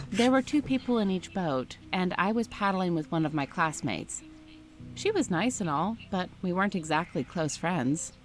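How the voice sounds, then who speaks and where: A woman reading a first-person story aloud in a steady, scripted narration style. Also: fairly low pitch.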